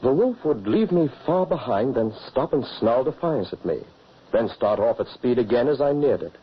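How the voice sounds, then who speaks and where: A man's voice: medium pitch, expressive, normal speed, breathy edge. An actor playing a hospitable, rugged huntsman father in an old-time radio horror drama, with an earnest, dramatic way of telling things. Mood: earnest recounting. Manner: earnest, dramatic storytelling delivery. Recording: muffled old radio recording.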